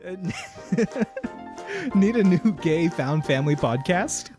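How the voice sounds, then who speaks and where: A woman's voice: fairly low pitch, sing-song, slow pace, darkish tone. A woman reading an informal podcast promo as one of the cast. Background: music.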